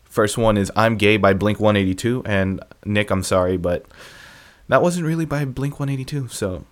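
A young man casually counting down a list of songs his viewers recommended, offhand and self-deprecating. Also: fairly low pitch. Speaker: music reviewer ranking viewer song picks.

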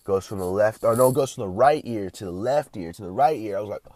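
A man's voice: on the low side, lively intonation, quick pace, darkish tone, no echo. A young man vlogging casually with a stuffy, congested nose, rambling and swearing often with lots of restarts.

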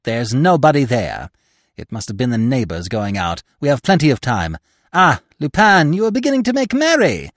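A man's voice: medium pitch, big pitch swings, moderate pace, dry recording, studio quality. A man voicing a furious, vengeful widow in a storybook, melodramatic and taunting, with a cruel and fearful edge.

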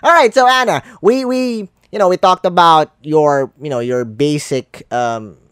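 A man's voice: a little high, unhurried, a bit bright, clear, resonant tone, no room sound, studio quality. A man hosting a podcast, a Filipino speaker of English as a second language, talking earnestly and encouragingly about love and positivity. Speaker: podcast host. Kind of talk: casual podcast interview.

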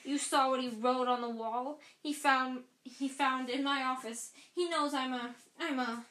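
A woman's voice: very animated, slow, a bit bright, echoey room, heavily acted. A woman reading aloud, voicing a bitter old male caretaker who shrieks and spits out accusations. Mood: furious. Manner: shrill, choked and spitting accusations. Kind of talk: read-aloud storybook voice.

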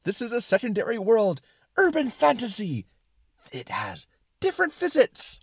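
Man as excitable, high-pitched talking suspenders. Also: normal speed, gravelly, slightly rough, no room sound, clean studio sound, exaggerated voice. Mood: gleefully insistent. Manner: excited, emphatic, twanging. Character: excitable, breezy, reassuring talking suspenders that twang. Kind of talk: comic skit performance.

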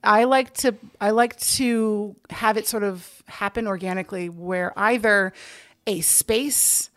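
A woman speaking as an interview guest, a theater director explaining things in a casual, animated way with asides. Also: mid-range voice, unhurried.

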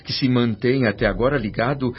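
An actor playing an earnest, anxious grown son, a newly departed spirit, in a radio drama, with an emotive and respectfully formal manner. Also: male voice, mid-range voice, a little fast, slightly rough, close to an everyday voice. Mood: troubled, perplexed. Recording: dull radio drama track with music.

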